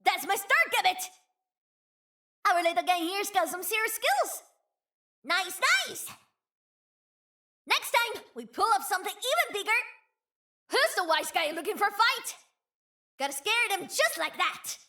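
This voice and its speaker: A woman voicing a brash, scrappy young female gang leader in a video game, cocky and boastful, hushed and wistful when she remembers. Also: very high-pitched, animated, measured pace, pauses often, exaggerated voice. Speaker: voice actor. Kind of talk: video game character voice lines.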